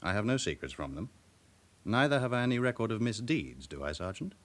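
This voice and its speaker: A proud, guarded businessman in a radio drama. He speaks low, with wide swings of pitch, in a formal and controlled way that turns faintly haughty. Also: big pitch swings, brisk. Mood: firm, indignant. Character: smooth respectable businessman with a past, guardedly proud. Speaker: actor in a police drama. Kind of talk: naturalistic radio drama acting.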